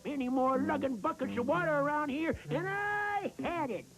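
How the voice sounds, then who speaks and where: Casting: cranky American cartoon critter, high and swooping, scornful, blustery, music behind. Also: male voice, animated, normal speed. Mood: grumbling. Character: cranky, hot-tempered animal deputy, quick to insult. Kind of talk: 1960s TV cartoon acting. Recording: old cartoon soundtrack with loud music.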